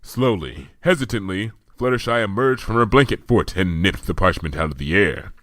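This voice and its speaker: A man reading fan fiction aloud in a lively, expressive narration, close to the mic. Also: fairly low pitch, moderate pace, no room sound. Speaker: reader.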